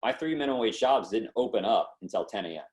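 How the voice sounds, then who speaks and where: A man's voice: on the low side, quick pace. A man in his twenties, a podcast guest, telling his story in a casual, slangy way and acting out what people said. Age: 20s. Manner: lively, slangy, acts out quotes.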